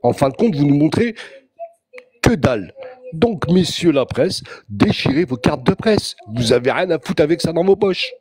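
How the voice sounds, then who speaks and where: A man's voice: on the high side, big pitch swings, moderate pace, a little mellow, slight background noise. A man calling in to a live show, making emphatic, repetitive declarations. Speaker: caller. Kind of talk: heated call-in opinion.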